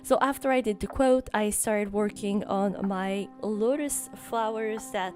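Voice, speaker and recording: A young woman with a French Canadian accent, French her first language, narrating her journal drawing in a relaxed, rambling way with many ums. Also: mid-range voice, unhurried. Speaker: journaling YouTuber. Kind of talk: voice-over narration of a drawing session. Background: background music.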